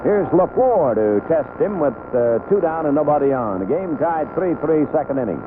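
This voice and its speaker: A man's voice: on the high side, animated, normal speed. Tinny vintage radio baseball announcer, man. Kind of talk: live radio sports commentary. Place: outdoor ballpark broadcast booth. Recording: tinny, noisy old AM radio broadcast.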